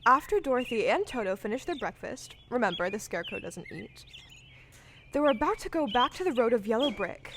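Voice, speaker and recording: A woman's voice: on the high side, sing-song, fairly quick, noisy background. A woman giving scripted storybook narration for an audio play. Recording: live stage recording with music underneath.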